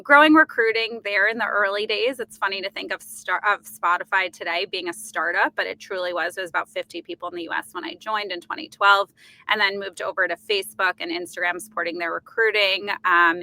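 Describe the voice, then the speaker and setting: A woman's voice: lowish voice, pushed and bright, poor audio. A woman in her thirties from New York with a New York accent, answering interview questions fluently with frequent fillers and restarts. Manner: fluent, many fillers and self-corrections. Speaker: guest, company executive. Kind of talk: podcast interview.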